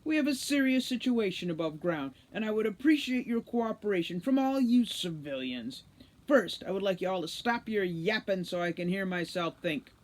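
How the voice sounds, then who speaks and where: A gruff, bossy elf commander from a storybook, acted out by a woman reader. He barks gravelly orders loudly and emphatically, pushed up in pitch. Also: animated, moderate pace, natural voice. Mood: commanding, irritated.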